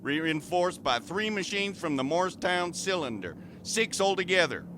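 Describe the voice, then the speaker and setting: A man's voice: sits high, lively intonation, moderate pace, background noise. A man playing a bomber pilot lieutenant radioing a terse, businesslike report in an amateur radio drama.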